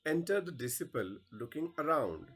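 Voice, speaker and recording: A man reading a play script and its stage directions aloud as an English teacher, in a deliberate, theatrical way. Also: on the high side, very animated, a bit bright, breathy edge.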